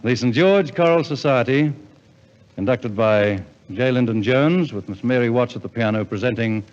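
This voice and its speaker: A man's voice: medium pitch, normal speed, long reverb tail. A man hosting a live 1950s radio variety show with effusive showmanship, on an old broadcast recording. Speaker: radio host and compere. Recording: dull 1950s radio recording, music and crowd.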